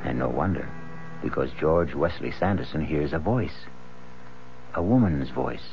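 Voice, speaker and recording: An American man hosting and narrating a 1970s radio mystery, deliberate, theatrical and suspenseful. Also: very deep voice, expressive, creaky. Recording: dull 1970s radio broadcast, music behind.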